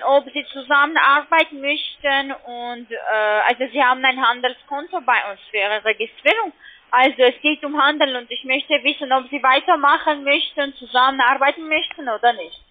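Woman, very high voice, call centre sales pitch, non-native wording, narrowband phone audio. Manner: non-native, halting German phrasing. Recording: tinny narrow phone line.

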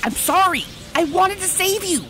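A gentle female cartoon unicorn, voiced by a woman, speaks in a high, youthful, pleading voice. She is earnest and heavy with guilt, with lively swings in pitch over faint music. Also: normal speed. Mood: distraught pleading. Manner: earnest, apologetic. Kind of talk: comic dub character acting.

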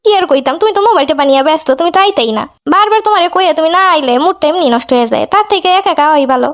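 A woman's voice: very high voice, lively intonation, very fast. A woman playing a neglected young wife in an amateur cartoon, sarcastic and scolding, with a Bangladeshi Bengali accent. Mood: cool, sarcastic.

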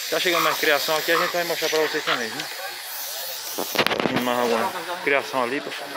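A man with a Northeastern Brazilian accent, filming a livestock fair on his phone and chatting loudly with sellers, calling each one boss. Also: on the high side, fairly quick. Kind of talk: casual walking commentary. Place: outdoor animal fair, small town. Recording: outdoor crowd noise. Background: crowd and animals.

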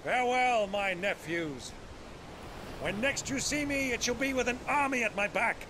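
An actor playing a jovial, grandiloquent middle-aged warrior uncle in a video game cutscene. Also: male voice, extremely high pitch, moderate pace, background noise. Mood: hearty farewell. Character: hearty, theatrical gray-bearded warrior uncle. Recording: clear sea and ship ambience behind.

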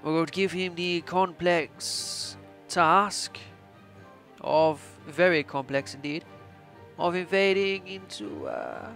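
A man's voice: sits high, expressive, slow pace, fairly bright tone, soft background music. A man in his twenties playfully commentating on a strategy game in a mock-imperious, theatrical way, putting on an Austrian accent. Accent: put-on Austrian. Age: 20s. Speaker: gaming channel host.

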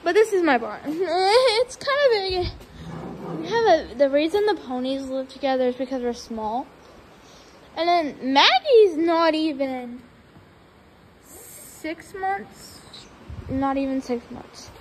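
A woman's voice: high-pitched, expressive, takes it slowly, a little hiss or hum, poor audio. A young woman giving a casual tour of her barn, chatty and giggly, cooing at her cats, recorded on a handheld phone indoors. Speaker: vlogger. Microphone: phone held in hand.

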